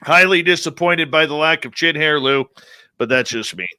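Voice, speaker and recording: A man's voice: fairly high pitch, moderate pace, bright, forceful tone, smooth. A man hosting a radio show, bantering and teasing his guests in a jokey way. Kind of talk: casual on-air banter.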